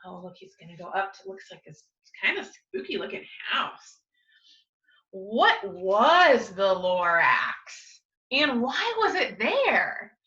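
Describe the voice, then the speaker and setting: A woman reading a picture book aloud to young children like a teacher, with expressive, dramatic emphasis and coaxing questions. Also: fairly high pitch, takes it slowly, muffled recording. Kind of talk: animated children's storytime read-aloud with asides and questions.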